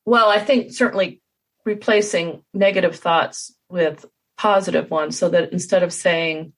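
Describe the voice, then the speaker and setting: Lower-voiced middle-aged woman podcast host. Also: fairly even intonation, a little slow, a bit bright. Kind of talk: casual interview chat.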